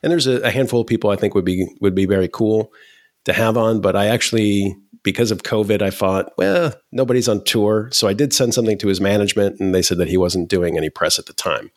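A man in his forties, a podcast host, chatting casually in a deadpan way with halting restarts. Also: lowish voice, dry recording, studio quality. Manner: halting, many restarts and fillers. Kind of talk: casual conversation.

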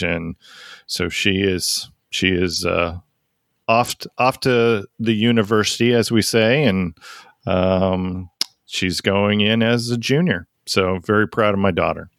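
A middle-aged man hosting a music podcast, joking his way through relaxed introductions. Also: deep voice, measured pace, no echo, studio quality. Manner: jokey, easygoing introductions. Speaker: podcast host. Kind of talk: casual podcast chat.

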